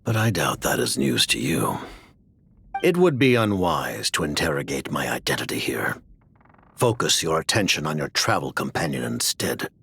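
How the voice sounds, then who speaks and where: An actor playing a calm, secretive and commanding man in a video game, speaking in a measured, cryptic way. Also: very deep voice, slight background noise, full character voice.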